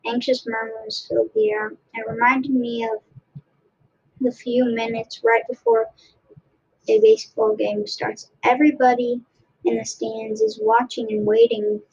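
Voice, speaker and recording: A woman reading a storybook aloud in a halting way, restarting phrases as she goes. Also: a little high, creaky, a little mellow, breathy edge, muffled recording. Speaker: story reader. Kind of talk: read-aloud storybook narration.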